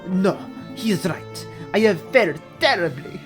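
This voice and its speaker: This is a man playing an exiled fox prince from a video game, melancholy and courteous. He speaks formally and earnestly in a Spanish accent, with a lively rise and fall. Faint music plays behind him. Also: high voice, a little slow. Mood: mournful.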